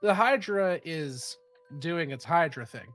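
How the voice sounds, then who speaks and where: A man's voice: fairly high pitch, slow pace, faint music behind. A man with a stuffy, nasal voice from a head cold, casually running a tabletop game as game master with lots of fillers. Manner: relaxed, rambling with fillers and swearing.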